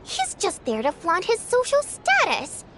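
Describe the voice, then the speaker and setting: A very high-voiced, proud singer. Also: female voice, huge pitch swings, a little slow, a bit bright, slight background noise, exaggerated voice. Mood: indignant. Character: proud female theater singer, easily offended, indignant. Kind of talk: scripted video game dialogue.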